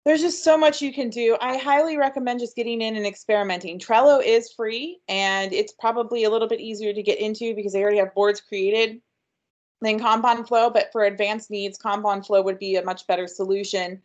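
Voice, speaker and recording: A woman's voice: fairly high pitch, fairly even intonation, fairly quick. Upbeat chatty woman presenter. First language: English. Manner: chatty and upbeat, frequent ums. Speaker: workshop presenter. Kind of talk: webinar presentation.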